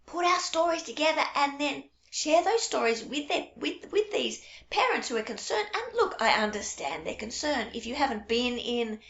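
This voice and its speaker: High-voiced woman in an echoey room. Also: very animated, moderate pace, hardly any pauses. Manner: warm, reassuring, storytelling. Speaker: early childhood French teacher. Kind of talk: casual direct-to-camera talk. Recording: echoey room, dull lo-fi sound.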